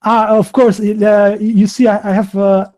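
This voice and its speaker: Halting Albanian-accented man, slow. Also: high-pitched, flat delivery, mellow. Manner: halting and hesitant, many fillers and restarts. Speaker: host. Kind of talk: live online video interview.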